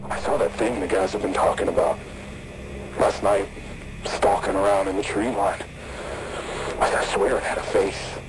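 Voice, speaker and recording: A man playing a plain-spoken, uneasy construction worker leaving halting voice messages in an analog horror series. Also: fairly low pitch, steady tone, close to an everyday voice. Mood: shaken. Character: uneasy blue-collar male construction worker, reporting strange happenings. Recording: loud radio-like static and site noise.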